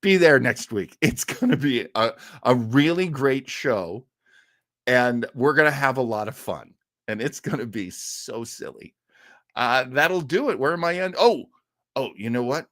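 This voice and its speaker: A man's voice: mid-range voice, animated, a little slow, fairly bright tone, studio quality. A man hosting a casual live stream in a rambling, jokey, exclamatory way. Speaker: host of a live streamed show. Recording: roomy echo with faint music.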